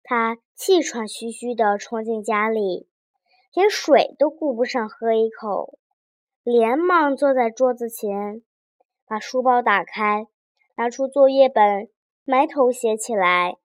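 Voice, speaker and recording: A woman's voice: on the high side, takes it slowly, dry recording. A woman narrating a children's fairy tale expressively, engaging young listeners as she goes. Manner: animated, addresses young listeners with questions. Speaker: children's storyteller.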